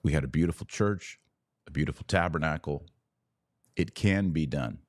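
A man hosting a religious commentary podcast, speaking emphatically and deliberately, pausing to stress key words. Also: very deep voice, no room sound, clean studio sound. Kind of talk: opinionated commentary monologue.

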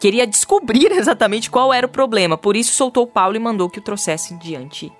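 A woman's voice: on the high side, expressive, a little fast, soft background music. A woman co-hosting a casual Bible podcast, reading passages aloud and then commenting lightly. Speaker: podcast co-host.

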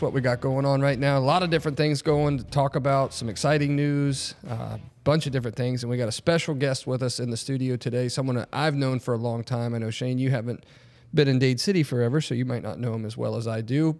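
A man's voice: medium pitch, a little mellow. Rambling male podcast host in a reverberant room. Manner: rambling, many fillers and restarts. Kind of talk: casual podcast chat. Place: studio.

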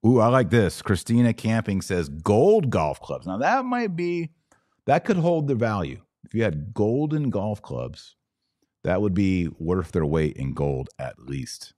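A man's voice: on the low side, very animated, a little slow, dark tone, no echo, studio quality. A man from Texas hosting a podcast, chatting casually and answering live comments in a folksy, emphatic way, often repeating his advice. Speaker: podcast host.

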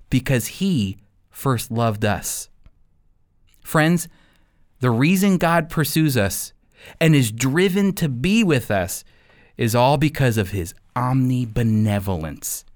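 A man teaching the Bible as a radio host, speaking in an earnest, emphatic way with deliberately shaped, scripted phrasing. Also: mid-range voice, a little mellow.

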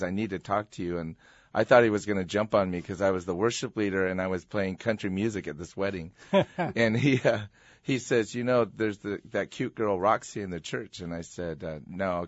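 A middle-aged American pastor sharing his story in a relaxed, chuckling conversational way. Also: sits low, a little fast, dry recording. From USA. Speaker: pastor, interview guest. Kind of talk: personal storytelling. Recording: muffled, dry radio studio sound.

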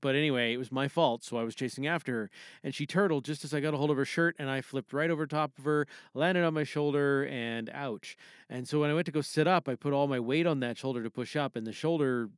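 A man's voice: medium pitch, fast, dry recording, clean studio sound. A middle-aged man with a Canadian accent, hosting a podcast in a casual, rambling, conversational way from a home booth. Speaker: podcast host. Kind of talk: casual chat.

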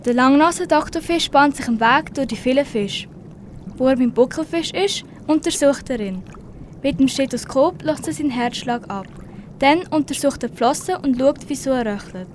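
A woman's voice: high-pitched, normal speed, a little hiss or hum. A teenage girl reading scripted story narration for an amateur radio play.

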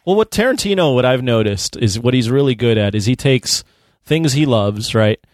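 A man's voice: mid-range voice, animated, moderate pace, no room sound, studio quality. A man co-hosting a film podcast in casual chat, acting out reactions and peppering his talk with "like". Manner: animatedly quotes imagined reactions, says 'like' often. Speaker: podcast co-host reviewing films.

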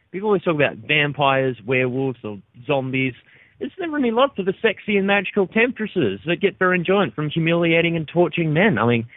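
A man's voice: mid-range voice, no echo. Australian man on a tinny phone line. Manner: profane, joking, laid-back. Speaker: caller. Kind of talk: casual voicemail message.

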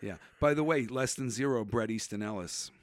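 A man co-hosting a podcast, chatting casually about films, laughing often and slipping into quick impressions. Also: medium pitch, dry recording, clean studio sound. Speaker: podcast co-host. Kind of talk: casual movie chat.

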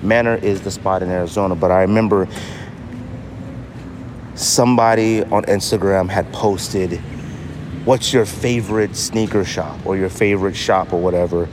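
A middle-aged American man hosting a casual solo podcast, telling stories in an animated, slangy, emphatic way. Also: low-pitched, moderate pace, background noise. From United States. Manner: animated, slangy storytelling with mock voices for others; emphatic, with repetition for effect. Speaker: podcast host.

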